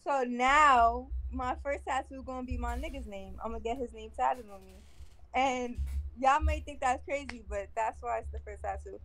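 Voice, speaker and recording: A woman talks at length over a video chat line, looping back on the same points in loose slang. Her voice sits a little high for a woman. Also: moderate pace, a bit bright, low-quality recording. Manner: rambling, repeats herself, slangy. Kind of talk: rambling personal story.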